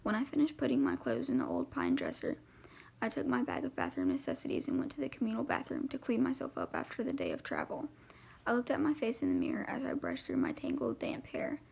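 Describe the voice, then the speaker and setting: A woman with a creaky, fry-laden voice reading first-person novel narration aloud as an amateur audiobook reader. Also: a little high, huge pitch swings, fast talker, a little mellow.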